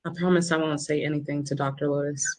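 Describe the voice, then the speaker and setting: A woman's voice: deep voice, little pitch movement, fast talker, darkish tone, natural voice. A woman playing a caring, reassuring nurse on evening rounds in a dramatic table read. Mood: concerned.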